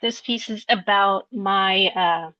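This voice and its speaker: A woman from Peru, an artist, walking through slides of her work in an explanatory, unhurried way, searching for words. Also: mid-range voice, pushed and bright, dry recording. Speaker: visual artist. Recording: dull lo-fi recording, dry.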